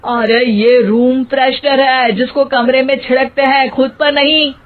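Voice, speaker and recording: A woman's voice: high-pitched, flat delivery, measured pace, phone-quality sound. A woman playing a long-suffering young office-going husband in a Hindi story cartoon. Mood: correcting.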